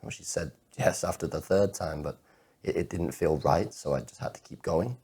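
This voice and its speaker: A heartbroken, anxious man played by a male actor delivers a confessional monologue in a very deep, dark voice. He stammers over repeated words as his obsessive thoughts intrude, and his tone is husky and rough. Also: exaggerated voice. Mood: fond, nervy. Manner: halting, stammering repetition of words. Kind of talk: dramatic monologue performance of spoken-word poetry.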